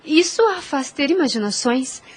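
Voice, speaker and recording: Woman acting a fairly high-voiced, gentle young nurse. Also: expressive, normal speed. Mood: composed, humble. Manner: formal, composed. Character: devoted, patient, gentle young nurse and servant. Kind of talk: religious audio drama acting.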